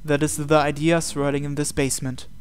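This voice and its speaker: A man's voice: on the high side, flat delivery, fairly quick, smooth. A man hosting a game video, reading visual novel text aloud and adding his own asides. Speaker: gaming video host.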